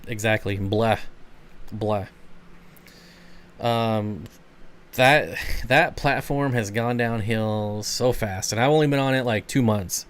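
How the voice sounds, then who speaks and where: Laid-back man with a smooth voice. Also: lowish voice, slow, pauses often. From Michigan. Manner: laid-back, rambling, chuckles often. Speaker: channel host. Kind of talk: casual livestream chat, answering viewer comments.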